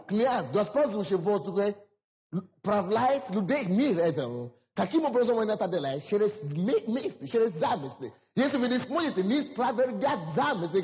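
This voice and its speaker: High-voiced preacher in a reverberant hall. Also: male voice. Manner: preaching with rising emphasis and repetition. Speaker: pastor. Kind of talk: sermon. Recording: dull lo-fi hall recording.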